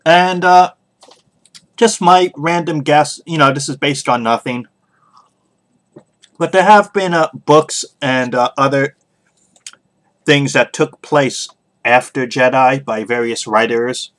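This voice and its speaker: Man, podcast host, casual solo commentary, halting delivery, frequent pauses, medium-pitched voice. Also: fairly bright tone. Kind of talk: casual solo opinion talk.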